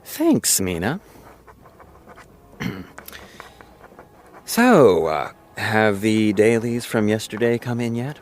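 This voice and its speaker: A man playing an awkward, self-doubting director in his thirties, halting and self-berating, in an audio comedy-drama. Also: lowish voice, sing-song, heavily acted.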